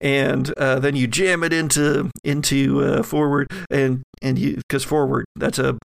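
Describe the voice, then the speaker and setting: A man runs a tabletop horror game in a creaky, somewhat low voice. He improvises scenes vividly, feeling his way through them haltingly. The sound is close and dry. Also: level tone, dry recording. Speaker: game master and podcast host.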